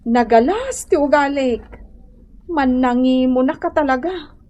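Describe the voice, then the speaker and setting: A woman's voice: high-pitched, lively intonation, measured pace, a little mellow. An actress with an Ilocano accent playing a chastened wife trying to mend her ways in a radio drama, speaking hesitantly. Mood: contrite.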